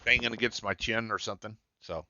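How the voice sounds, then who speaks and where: A man's voice: on the low side, steady tone, quick pace, lo-fi sound. A man with a scratchy voice hosting a live game stream, muttering to himself as he searches through settings. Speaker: gaming streamer.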